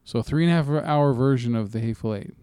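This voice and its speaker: A man's voice: medium pitch, fast, mellow, clear, resonant tone, no room sound, clean studio sound. A man co-hosting a casual film news chat, talking in an informal, profane, rambling way. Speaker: movie podcast co-host.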